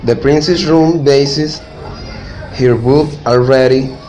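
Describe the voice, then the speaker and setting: A young man with native Spanish narrates a fairy tale for a school play. He reads slowly and haltingly at a middle pitch, with music clearly behind him. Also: fairly even intonation, a little mellow, poor audio. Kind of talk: scripted storybook narration in non-native English.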